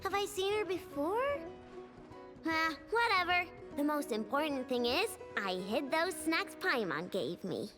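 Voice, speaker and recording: A woman voicing a small, excitable, childlike female game companion who talks in a casual, cheeky, exclamatory way. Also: sits high, measured pace, over music. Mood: excited, cheeky. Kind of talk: animated video game voice acting.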